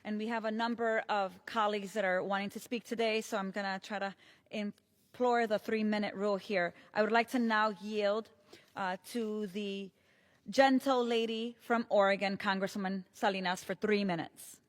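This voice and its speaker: A woman presiding over a session, making brisk, courteous procedural remarks as she yields time to speakers. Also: medium pitch, fairly even intonation.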